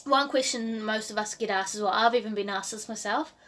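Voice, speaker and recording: A woman's voice: mid-range voice, brisk, fairly bright tone. Rambling young New Zealand woman. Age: early 20s. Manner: rambling, full of "like" and "um". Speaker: vlogger. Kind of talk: casual talk to camera.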